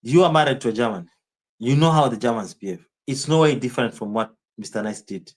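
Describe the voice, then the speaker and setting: A man with a strong Kenyan accent talks loosely to his live audience and rambles from point to point. He answers chat comments by name in a casual streaming manner, and his voice sits at an ordinary middle pitch. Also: fast talker.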